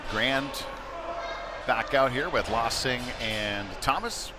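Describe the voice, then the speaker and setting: A man with a mid-range voice gives live hockey play-by-play in a conversational way that turns excitable on big plays. He is at an indoor rink, with clear arena noise and knocks behind him. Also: mid-range voice, takes it slowly. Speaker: play-by-play commentator.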